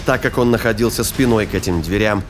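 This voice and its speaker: A man's voice: fairly low pitch, fast talker. A man narrating a scripted action story as an audio drama narrator. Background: music and sound effects.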